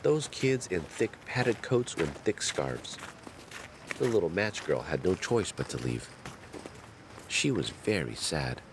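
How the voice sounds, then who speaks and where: A man narrating a fairy tale in a measured, dramatic storytelling manner. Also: low voice, sing-song, background noise.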